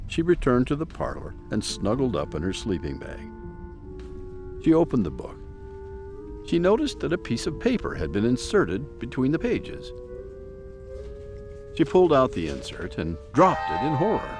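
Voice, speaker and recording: Male narrator for a mystery audio drama: dramatic, animated pitch, medium register, music bed. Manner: dramatic storytelling. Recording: prominent music behind narration.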